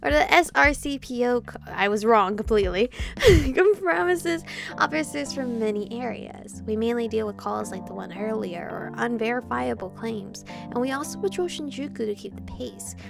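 A young woman hosts a gaming video, reading dialogue and commenting playfully. Her voice is fairly high and often drops into fry. Clear game music sits behind her. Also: expressive, moderate pace. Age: young adult. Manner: chatty, playful.

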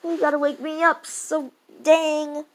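A young performer playing a grumpy, tired father who scolds his son in a homemade skit, gruff and repeating his orders. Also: sits high, little pitch movement, unhurried.

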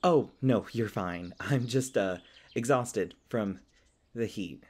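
A man's voice: on the low side, slow pace, darkish tone, slightly rough. A man playing a polite, hesitant, folksy elf park ranger in an audio roleplay. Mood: flustered. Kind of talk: ASMR audio roleplay acting.

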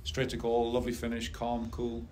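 A middle-aged man speaking as a head soccer coach at a post-game press conference, measured and steady. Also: mid-range voice. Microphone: table mic on a stand.

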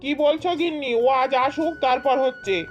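A woman's voice: high-pitched, fairly even intonation, fairly quick, highs cut off, close to an everyday voice. A woman voicing a hardworking, weary village farmer and vegetable-selling father in an amateur cartoon dub. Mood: stern, angry. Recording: music under the voice.

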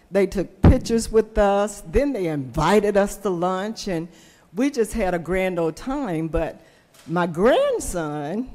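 Older lady senator telling a story slow and easy, mellow low voice, big echoey chamber. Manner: folksy, slow storyteller, acts out quoted voices. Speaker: state senator. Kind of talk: floor speech with storytelling. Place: large legislative chamber. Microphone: podium microphone. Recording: long hall reverb in chamber.

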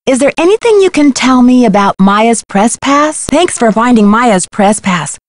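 A woman playing a determined, sassy young amateur detective in a video game, with a brisk, pointed way of talking. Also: a little high, expressive, dry recording, lightly acted. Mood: earnest. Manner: brisk, pointed, wry questioning.

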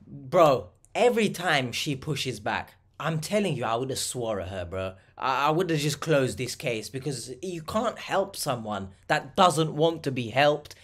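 A man's voice: mid-range voice, animated, unhurried, few pauses, a bit bright. A young man giving blunt, exasperated reaction commentary with plenty of swearing. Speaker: reaction video host.

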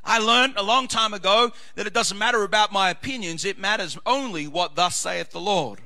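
Man, pastor, loud sermon, Australian accent, bright tense tone, gravelly edge. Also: normal speed. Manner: loud, swings between shouted climaxes and low quiet asides. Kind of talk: passionate preaching with scripture readings.

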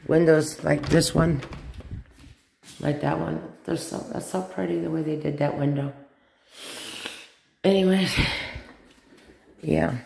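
A woman's voice: deep voice, vocal fry. A woman filming her bedroom and doll collection on a phone, talking in a rambling, hesitant way and losing her words now and then. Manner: slow, hesitant, loses her words, nervous. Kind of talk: casual home vlog, rambling. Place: small bedroom at home. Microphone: phone camera held by the speaker, off screen.

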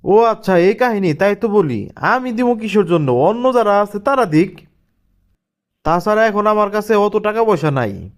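A man plays a stingy cartoon husband with a noticeably high-pitched voice. He is scornful and domineering. His delivery drips with sarcasm and brushes others off. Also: animated, moderate pace. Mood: dismissive. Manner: sarcastic, dismissive. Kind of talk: cartoon family drama dialogue.

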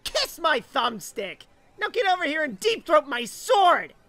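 Scrawny defiant gamer boy played by a woman, extremely high, sharp voice, audiobook drama. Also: very animated, unhurried, no echo, exaggerated voice. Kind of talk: audiobook character acting.

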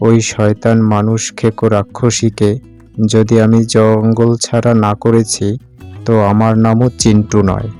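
A man's voice: on the low side, completely flat delivery, measured pace, warm tone, clear, resonant tone, faint music behind. A man playing a brave, confident young village tea-seller in a cartoon story, speaking in a defiant, plain-spoken way. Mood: determined.

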